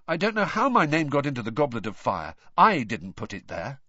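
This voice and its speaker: A man voicing a teenage boy who gives halting, defensive answers, in a dull, dry recording. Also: mid-range voice, huge pitch swings, sharp tone, dry recording, pitched above the performer's own voice, heavily acted.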